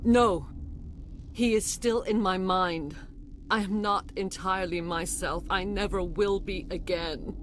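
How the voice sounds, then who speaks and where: An actress playing a middle-aged alien mother in a video game, regretful and fighting mind control, speaking in a grave, formal, measured way. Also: mid-range voice, slight background noise, natural voice. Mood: weary, sorrowful.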